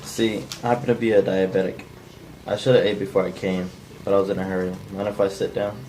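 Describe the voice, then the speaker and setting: An amateur man reading a young utility worker, courteous but pushy. The voice is low and mellow with very little inflection, recorded with some room noise in the background. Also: little pitch movement, quick pace, natural voice, rough recording. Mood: insistent. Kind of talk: flat cold read of a script by a non-actor.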